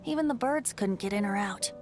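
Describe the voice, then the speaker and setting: A woman's voice: medium pitch, animated, fairly quick, over music, lightly acted. A woman voicing a playful, boyish wind god bard in a video game, ancient but youthful, with a lilting, theatrical delivery. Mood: wistful, reflective. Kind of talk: video game cutscene acting.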